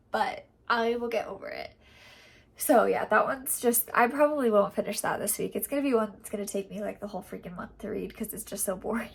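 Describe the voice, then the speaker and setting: A woman's voice: fairly high pitch, vocal fry, a bit bright, breathy edge. A young woman with a cold and a congested, nasal voice, chatting casually in a rambling reading update full of fillers. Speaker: book vlogger.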